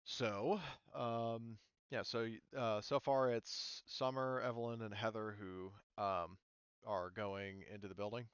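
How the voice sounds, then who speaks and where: A man's voice: fairly low pitch, fairly even intonation, measured pace, clear, resonant tone, no echo, lo-fi sound. A man running an online tabletop game as game master, explaining things informally and a little hesitantly.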